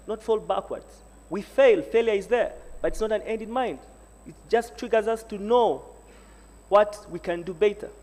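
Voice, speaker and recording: A man's voice: high-pitched, darkish tone. A man giving a rousing, exhortative panel speech at a university symposium, building on repeated calls. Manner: exhortative, repeats "Africa needs an African". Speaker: panel speaker. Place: large university hall. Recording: echoey hall reverb.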